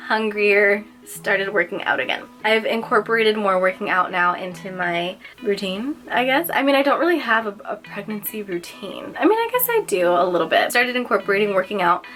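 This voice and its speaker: A woman's voice: medium pitch, animated, moderate pace, few pauses, fairly bright tone, soft background music. A young woman vlogging casually, slightly nasal and congested, chatty with lots of filler words. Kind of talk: casual personal vlog.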